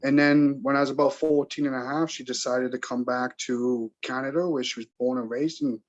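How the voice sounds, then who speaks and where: A man in his forties from England, answering interview questions in a measured, reflective way that halts now and then. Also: medium pitch, fairly even intonation, lo-fi sound. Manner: measured, reflective, halting at times. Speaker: guest.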